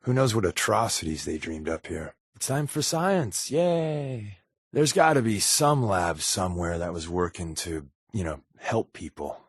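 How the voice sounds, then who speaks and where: Wisecracking undercover agent, game companion, slightly low male voice, rough-edged husk, deadpan, sarcastic, conversational. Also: unhurried, no room sound, studio quality, heavily acted. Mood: wry, sarcastic. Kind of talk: video game companion dialogue.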